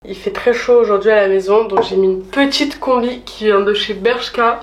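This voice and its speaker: A young woman from France chats through her day in a casual, upbeat vlog, her voice a touch stuffy from a cold. She sits at mid pitch, neither high nor low. Faint room noise hums behind her camera mic. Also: fairly even intonation, normal speed. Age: young adult. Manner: chatty, upbeat, slangy. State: has a cold. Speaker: vlogger. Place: at home.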